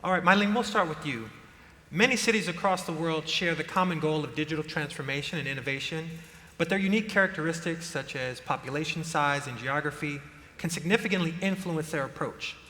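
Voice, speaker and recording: Guy hosting a panel on a big stage, formal and measured, long hall echo. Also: fairly high pitch, pushed and bright. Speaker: panel moderator. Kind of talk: scripted panel introduction. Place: large conference stage.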